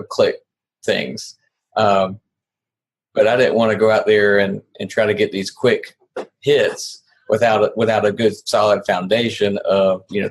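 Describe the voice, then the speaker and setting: A man from South Carolina answers as a podcast guest in a fairly low voice. He speaks in a relaxed, unhurried way, pausing often and leaning on fillers as he gathers his thoughts. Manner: relaxed, hesitant, many fillers. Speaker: guest, real estate investor. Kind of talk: casual interview.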